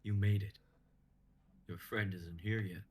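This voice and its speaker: A man plays a commanding male guide of the underworld. The voice is deep and the delivery terse and brusque, in a fantasy drama reading. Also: fairly even intonation, measured pace, a little mellow, natural voice. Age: young adult.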